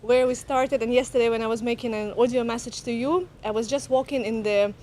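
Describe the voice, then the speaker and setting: A woman's voice: fairly high pitch, steady tone, fairly quick, a little mellow, a little hiss or hum. A woman talking conversationally as an expert guest on a show. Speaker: guest marketing consultant. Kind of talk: conversational interview talk. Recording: music behind the voice. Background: music.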